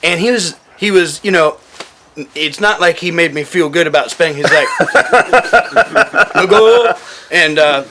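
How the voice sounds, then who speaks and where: A man telling casual war stories as a military veteran, animated and swearing freely, acting out quoted dialogue. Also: a little high, measured pace, long pauses, noisy background. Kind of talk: casual storytelling, profane.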